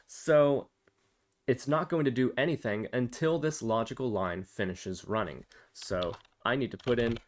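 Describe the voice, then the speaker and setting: A man's voice: mid-range voice, measured pace. A man presenting a casual step-by-step programming tutorial, explaining and thinking aloud as he demonstrates.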